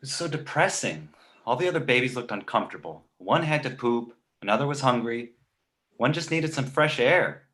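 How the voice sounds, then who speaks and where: A man playing a precocious talking baby boy, sardonic, indignant and pedantic, in an animated comedy table read. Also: mid-range voice, moderate pace, natural voice. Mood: dry, dismissive. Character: precocious, snarky two-month-old talking baby boy.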